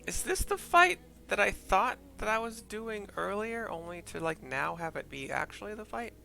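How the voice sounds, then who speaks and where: A man's voice: sits high, expressive, moderate pace, creaky, bright, forceful tone, soft background music. A man narrating a video game playthrough in a casual, offhand way, describing scenes with muttered asides.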